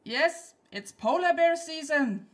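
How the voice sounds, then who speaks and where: High-pitched woman, bossy skit character, acted by a woman, brisk, deadpan, matter-of-fact. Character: bossy, matter-of-fact woman podcast creator off to hunt polar bears. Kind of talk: scripted comedy skit.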